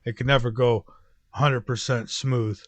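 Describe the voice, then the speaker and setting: A man's voice: mid-range voice, steady tone, normal speed, slight background noise. A man hosting a radio interview in a folksy, chatty way, with fillers. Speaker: radio show host.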